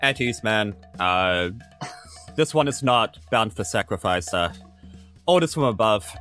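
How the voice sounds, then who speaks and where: A man's voice: fairly low pitch, expressive, a bit bright, faint music behind. A man with a New Zealand accent voicing a pompous, officious guard officer who halts as he bluffs in a tabletop game. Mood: commanding. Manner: stiff, officious, halting.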